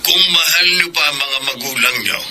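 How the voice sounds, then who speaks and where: A man's voice: on the high side, normal speed, music in the background, natural voice. An actor playing a menacing grandfather on a phone call in a radio drama. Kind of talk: Filipino radio drama acting.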